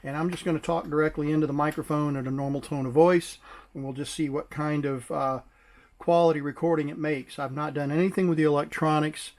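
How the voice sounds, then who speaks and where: Casually explaining man, slightly higher-pitched voice. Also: steady tone, brisk, darkish tone. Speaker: vintage tech collector presenting a device. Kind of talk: casual explanatory product demonstration.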